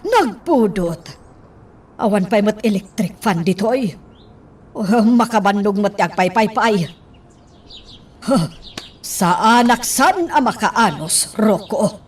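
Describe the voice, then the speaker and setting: A woman's voice: medium pitch, animated, takes it slowly, long pauses. A woman acting a fretful, complaining middle-aged mother in an Ilocano radio drama, with a whiny, exasperated delivery. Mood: irritated. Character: fretful, complaining mother in hiding, used to comfort. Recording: distant microphone with faint background noise.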